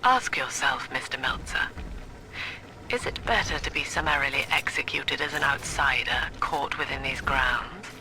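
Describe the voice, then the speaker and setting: An actress playing a cold, cerebral middle-aged psychiatrist and cult leader in a video game, speaking in a formal, precise, solemn manner. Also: female voice, rumbling low, normal speed, vocal fry, music in the background, low-quality recording. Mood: coolly persuasive. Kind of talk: dramatic video game monologue.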